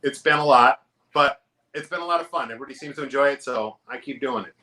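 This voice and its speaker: A man's voice: mid-range voice, quick pace, sharp tone, poor audio. A man being interviewed as a guest, chatting casually and upbeat with easy, self-deprecating laughs. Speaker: guest musician and comedian.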